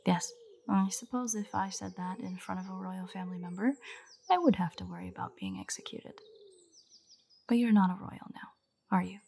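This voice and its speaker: A woman's voice: medium pitch, moderate pace, vocal fry, warm tone, a little hiss or hum. A woman playing an earnest, nerdy royal botanist in a fantasy audio roleplay, speaking in a precise, formal, lecturing way directly to the listener. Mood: wry, storytelling. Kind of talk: roleplay audio drama, scripted monologue to the listener. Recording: birdsong behind the voice. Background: birdsong, garden ambience.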